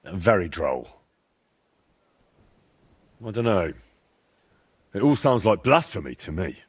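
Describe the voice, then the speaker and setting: An actor playing a plain-spoken, sceptical young medieval adventurer in a video game, with a down-to-earth, conversational manner. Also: male voice, low-pitched, big pitch swings, takes it slowly, breathy edge, full character voice. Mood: sceptical, wary. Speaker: protagonist of a game. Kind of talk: video game cutscene dialogue.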